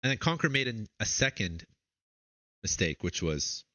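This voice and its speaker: A man hosts and casts a strategy game tournament in a relaxed, chatty way, with plenty of drawn-out fillers. His voice sits on the low side. The recording is dry and close. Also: no room sound. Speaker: tournament caster and host. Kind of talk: live game commentary and interview.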